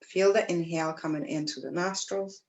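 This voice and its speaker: A woman teaching yoga, guiding breathing and meditation in a gentle, soothing way, with pauses between phrases. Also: fairly low pitch. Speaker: yoga teacher leading a class. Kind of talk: guided breathwork and meditation instruction.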